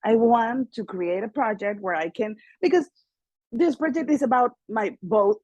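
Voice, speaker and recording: A woman's voice: a little high, normal speed, no room sound, muffled recording. Mexican-accented woman, dry and close. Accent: Mexican Spanish. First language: Spanish. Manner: animated, laughs often, earnest. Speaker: guest singer and actress. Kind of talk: casual interview chat.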